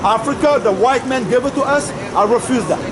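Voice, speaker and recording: A man's voice: very high voice, brisk. A man from Senegal, raised in Paris, speaking insistently and explaining himself in a street conversation, often repeating his points. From Senegal and Paris, France. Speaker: passer-by in a street debate. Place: outdoors on a city street. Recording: noisy street, traffic and crowd.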